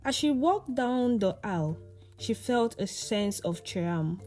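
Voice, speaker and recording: A woman narrates a folktale in a scripted storytelling style. Her pace is unhurried and her tone soft-edged and dark, at a middling pitch. Faint music plays behind her. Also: animated.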